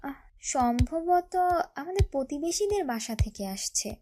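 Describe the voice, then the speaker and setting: A woman voicing a gentle, caring mother in a cartoon. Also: high voice, very animated, unhurried, noisy background. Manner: soft, affectionate.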